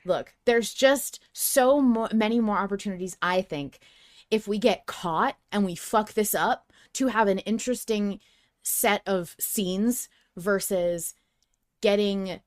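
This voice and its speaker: A woman's voice: mid-range voice, slow pace. A woman co-hosting a podcast in casual chat, speaking emphatically and with strong opinions, swearing freely. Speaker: podcast co-host. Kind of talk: casual fan discussion chat.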